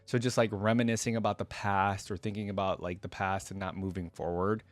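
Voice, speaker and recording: Deep-voiced, enthusiastic man reacting casually. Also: flat delivery, a little fast, no room sound. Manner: enthusiastic, rambling, frequent "like". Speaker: reaction video host and fan. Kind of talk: casual reaction commentary and story reading.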